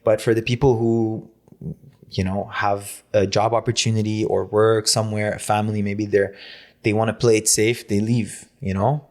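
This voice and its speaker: A man's voice: on the low side, level tone, moderate pace, a little mellow. A young man from Lebanon, a native Arabic speaker, guesting on a podcast and talking in a loose, chatty way, swearing freely and saying "man" a lot. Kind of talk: casual podcast conversation.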